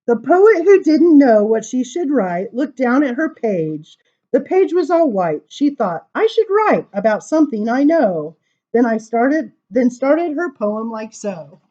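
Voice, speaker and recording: A woman reading poems aloud to children as a storytime librarian, with animated, sing-song emphasis and casual asides. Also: on the high side, unhurried, muffled recording.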